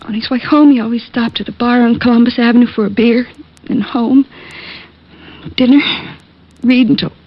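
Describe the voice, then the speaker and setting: An actress playing a distressed young American woman grieving in an old radio drama, halting and polite in a breathy voice. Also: a little high, fairly even intonation. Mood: subdued. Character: distressed, grieving sister of a murdered man. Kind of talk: 1940s-50s radio drama acting. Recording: muffled old-time radio recording.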